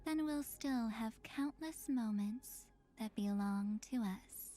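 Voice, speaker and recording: An actress playing a mysterious, elegant young woman in a video game, speaking in an intimate, breathy, enigmatic way. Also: fairly high pitch, slow pace, dark tone. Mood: soft, enigmatic. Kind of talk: video game character voice lines.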